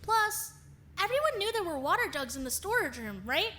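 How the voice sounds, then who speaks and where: A woman playing a feisty, excitable young woman with an emphatic, accusatory manner. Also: very high-pitched, moderate pace, slight background noise, heavily acted. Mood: eager. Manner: emphatic, insistent. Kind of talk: animated murder-mystery voice acting.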